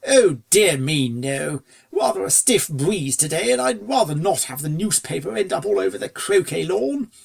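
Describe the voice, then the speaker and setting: A genteel, fussy gentleman pony from a storybook, as acted out by a narrator. He is good-natured and dithers in posh, old-fashioned phrases, the voice lifted above plain narration and edged with creak. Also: mid-range voice, very animated, normal speed, few pauses. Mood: mildly dismayed. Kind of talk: storybook character dialogue.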